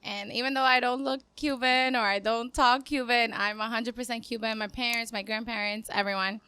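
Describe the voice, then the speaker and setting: A woman's voice: fairly high pitch, fairly even intonation, brisk, bright, forceful tone, clean tone. A woman in her twenties from Cuba, a dancer chatting casually as a podcast guest in an upbeat, talkative way. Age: 20s. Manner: upbeat, chatty, laughs easily. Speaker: guest, dancer and business owner. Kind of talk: casual interview talk.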